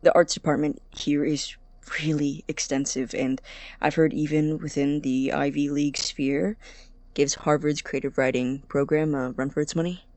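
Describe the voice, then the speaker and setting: Nervous college kid, creaky voice, stumbles over words, rambles, super dry close sound, audio drama, guy acting. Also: medium pitch, steady tone, darkish tone, no room sound, close to an everyday voice. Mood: nervous, apologetic. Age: young adult. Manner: halting, stammering, rambling, nervous laughs. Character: Victor, awkward, anxious, self-deprecating young male college student.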